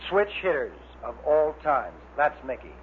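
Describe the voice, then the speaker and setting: A man's voice: fairly high pitch, sing-song, a little slow. An American man reading scripted copy as a vintage radio announcer. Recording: tinny, faintly noisy vintage radio recording.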